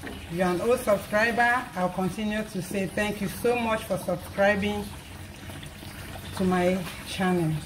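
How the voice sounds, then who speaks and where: A hoarse woman hosting a cooking channel, calmly explaining each step as she cooks in her home kitchen. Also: medium pitch, unhurried. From Nigeria. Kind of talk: casual cooking narration. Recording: noisy kitchen. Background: pots clattering and food frying.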